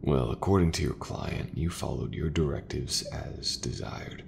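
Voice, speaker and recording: A man playing an easygoing, folksy sci-fi mechanic in a soft, breathy, reassuring ASMR roleplay voice. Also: rumbling low, background noise, heavily acted. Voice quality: gravelly, breathy, half-whispered. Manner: slow, unhurried, reassuring, colloquial. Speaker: voice actor.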